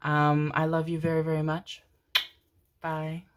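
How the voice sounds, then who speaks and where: Woman, tarot reader, low voice, rambling, affectionate pet names, young adult. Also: completely flat delivery, unhurried, clear, resonant tone. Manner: affectionate, chatty, rambling with many fillers and endearments. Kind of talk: casual channeled tarot reading to camera.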